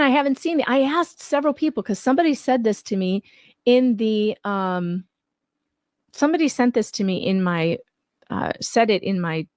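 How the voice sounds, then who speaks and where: A woman's voice: on the high side, no room sound, studio quality. A woman hosting a live commentary stream on a legal case, casual but argumentative and skeptical, repeating points for emphasis. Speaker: livestream commentator and video host. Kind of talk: casual live commentary on a legal case, reading claims aloud and rebutting them.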